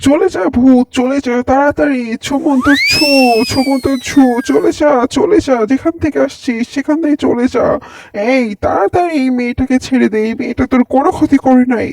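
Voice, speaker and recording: A man's voice: very high-pitched, a little fast, vocal fry, darkish tone. A man voicing a scheming, commanding village exorcist who is a fraud, in an amateur cartoon. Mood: commanding, menacing. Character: scheming fake exorcist healer, commanding and sly. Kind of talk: amateur animated cartoon voice acting. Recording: distant mic, noisy, clicks.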